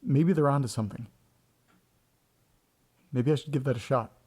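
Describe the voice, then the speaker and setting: A man's voice: mid-range voice, moderate pace, darkish tone, slightly rough, lightly acted. An actor playing a disturbed, indignant man in an audio drama, muttering incredulously and sardonically to himself. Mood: pondering. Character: disturbed, indignant grandson listening to old tapes.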